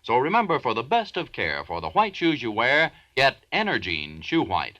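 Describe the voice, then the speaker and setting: A man's voice: medium pitch, huge pitch swings, moderate pace, sharp tone, no room sound. An American man reading a 1940s radio advertisement in an emphatic selling manner. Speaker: commercial announcer. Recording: dull 1940s radio recording.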